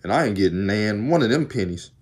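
Deep-voiced Southern man chatting casually. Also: lively intonation, a little fast, a little mellow, breathy edge. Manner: rambling, talkative, laughs often. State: arms tired while undoing braids. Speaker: vlogger. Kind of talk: casual chat to camera. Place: small room indoors. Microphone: phone camera at arm's length.

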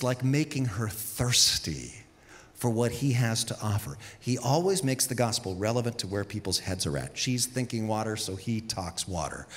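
Animated male pastor in a big hall. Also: mid-range voice. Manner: storytelling, animated, acts out quotes. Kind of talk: sermon. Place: large church stage with audience. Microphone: handheld mic. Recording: long reverb of a big hall.

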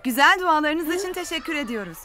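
Polite, high-voiced mother. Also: animated, quick pace, sharp tone. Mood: gracious. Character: polite, gracious mother of two children. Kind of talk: dubbed children's cartoon acting. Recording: background music under the voice.

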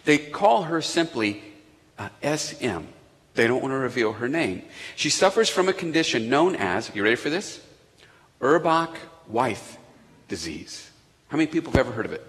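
Man, pastor, casual conversational sermon, medium pitch, long church reverb. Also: normal speed. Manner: conversational, animated with asides to the congregation. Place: church sanctuary. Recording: echoey church hall.

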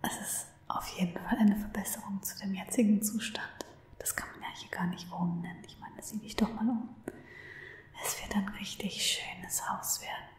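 A woman's voice: medium pitch, steady tone, reverberant, lightly acted. Woman playing a whispering, obsessive lovestruck stalker. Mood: doting, possessive. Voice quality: breathy whisper. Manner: tender, cooing, unhurried. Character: obsessive, lovestruck female stalker cooing to her captive. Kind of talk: ASMR roleplay in German. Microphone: close microphone.

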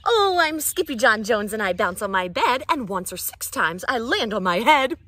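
A woman voicing an excitable young boy kitten in a bouncy, sing-song way. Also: on the high side, normal speed, dry recording. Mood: playful.

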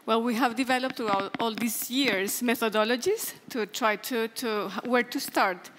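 Middle aged woman on a conference panel, highish voice, big hall echo. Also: normal speed, fairly bright tone, a little hiss or hum. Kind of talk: explanatory panel talk. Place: large conference hall stage. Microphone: headset. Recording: long hall reverb from auditorium sound system.

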